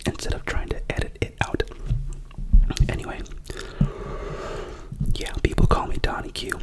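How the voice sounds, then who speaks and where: Whispering man, ASMR unboxing host. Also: moderate pace. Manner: rambling, chatty whisper with self-corrections. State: sick with a cough.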